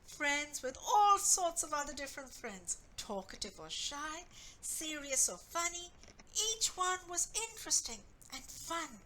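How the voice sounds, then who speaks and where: A woman author reading her own story expressively, with deliberate, dramatic phrasing. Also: high voice, very animated, bright, forceful tone, a little hiss or hum, rough recording.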